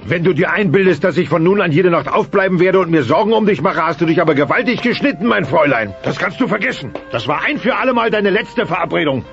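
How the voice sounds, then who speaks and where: A man's voice: sits high, expressive, fast talker, creaky, few pauses. A voice actor playing a mighty, pompous dinosaur father, blustering and sentimental, in a German dubbed family sitcom audio play. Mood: scolding. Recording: dull cassette transfer with music.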